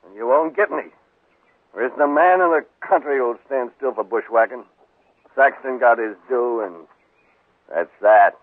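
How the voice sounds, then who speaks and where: A hard, defiant American rancher played by a male actor talks bluntly and confrontationally in a medium-pitched voice. It is a 1950s western radio performance, dry and tightly band-limited. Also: normal speed, long pauses, no echo, close to an everyday voice. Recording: muffled old radio broadcast.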